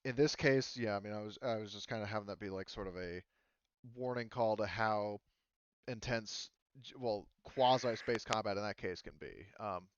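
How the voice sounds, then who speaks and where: A man's voice: on the low side, moderate pace, clean tone, dry recording, muffled recording. A man acting as game master, casually narrating and explaining rules in a hesitant, rambling way.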